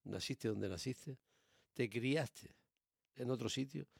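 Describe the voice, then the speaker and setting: A man with a Canary Islands accent and a gravelly, creaky voice, recorded close and dry. He talks casually but with opinions, explaining things emphatically and repeating himself to make the point. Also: medium pitch, slow pace, no room sound. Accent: Canary Islands Spanish. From born in Tenerife, raised in Gran Canaria, Canary Islands.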